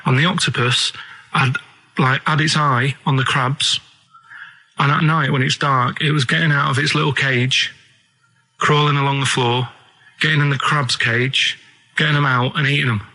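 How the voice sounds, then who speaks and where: A male radio co-host with a bright, forward voice. He chats casually and rambles along, sounding earnest and puzzled as he poses naive questions. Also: mid-range voice, fairly even intonation, moderate pace, poor audio.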